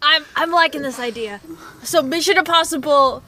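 A comic performer puts on a small, self-important male action star. The voice is high and confides with great earnestness. It is improvised comedy with faint background noise. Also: normal speed, sharp tone. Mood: intrigued.

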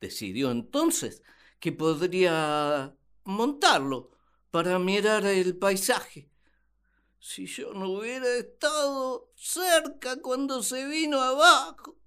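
A woman's voice: mid-range voice, expressive, slow, a bit bright, clean tone. A woman playing Adam as an exasperated, naive and plaintive first man in a comic radio drama.

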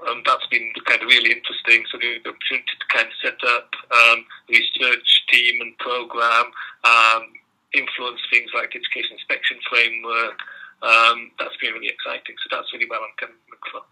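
A man's voice: medium pitch, completely flat delivery, brisk, sharp tone, poor audio. A middle-aged man from Belgium with a Belgian accent, answering interview questions in a hesitant way with many ums. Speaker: guest researcher.

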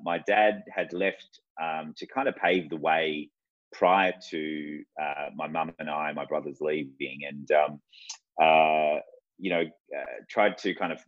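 An Australian man in his forties, a guest telling his life story in an unhurried, reflective way. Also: extremely low pitch, dry recording. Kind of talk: casual interview talk. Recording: dull lo-fi sound.

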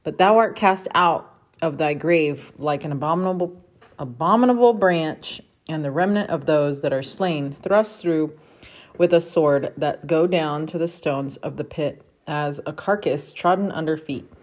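A woman reads scripture aloud for her family in a low-pitched voice. She keeps a steady, careful read-aloud pace and stops briefly to correct a word. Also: unhurried. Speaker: family member reading scripture.

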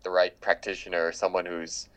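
A man's voice: sits low, completely flat delivery, moderate pace, a bit bright, clean tone. A man hosting a casual conversation, talking thoughtfully and hesitating with fillers.

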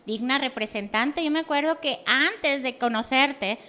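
A woman's voice: sits high, measured pace, bright, forceful tone. A woman with a Mexican accent hosting a podcast, asking questions in a chatty way with lots of fillers. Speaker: podcast host. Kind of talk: casual interview chat.

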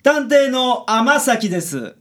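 A man plays a grumpy thirty-something detective with a Kansai accent. His delivery is gruff and sardonic, with big swings in pitch, in radio drama style. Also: big pitch swings, slow pace, exaggerated voice. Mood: formal, pompous. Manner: gruff, sardonic, pompous with clients. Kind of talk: Japanese radio drama acting.

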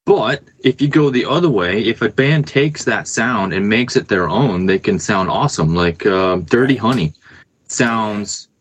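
A man's voice: on the low side, expressive, a little slow, husky, poor audio. A man chatting casually as a musician guest on a podcast over a remote call. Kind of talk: casual chat. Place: remote Skype call from Canada.

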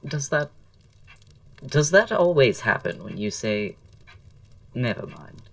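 An actor playing a witty, flirtatious poet and dandy in an audio drama, speaking in florid, arch, self-assured period style. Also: male voice, medium pitch, sing-song, takes it slowly, fairly bright tone, no echo, recorded from a distance, heavily acted. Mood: arch, gracious. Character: languid, witty, flirtatious male poet-playwright dandy, proud.

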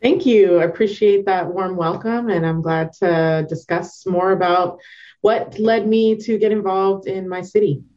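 A woman's voice: mid-range voice, a little slow, dark tone, muffled recording. A woman from Northern Virginia with an American accent, telling her story informally and reflectively as an interview guest. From Northern Virginia, United States. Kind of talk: conversational personal storytelling.

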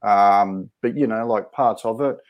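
A man, co-hosting a men's talk show and speaking slowly and hesitantly with many fillers. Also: fairly low pitch, dull audio. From Australia. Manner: slow, hesitant, many fillers, warm and respectful. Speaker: co-host.

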